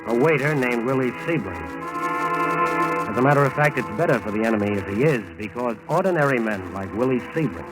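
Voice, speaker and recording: An American man giving measured, dramatic scripted narration in the style of 1940s radio. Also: medium pitch, animated, over loud music, poor audio. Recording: old 1940s radio broadcast recording.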